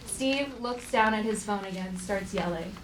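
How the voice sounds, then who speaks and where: A woman of average pitch reads out stage directions in a scripted narration style. The sound is a roomy live stage with faint noise in the background. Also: normal speed. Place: theater stage, live show.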